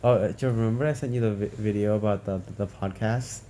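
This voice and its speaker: A man's voice: lowish voice, steady tone, fast, dark tone, slight background noise. A man hosting a game story read-along in casual, informal chat.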